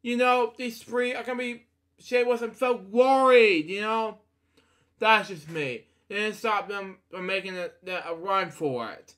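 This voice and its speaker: A man reading a bedtime storybook aloud in a halting way, often breaking off to comment. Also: sits high, fairly bright tone. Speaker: storybook reader. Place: indoor room at a computer.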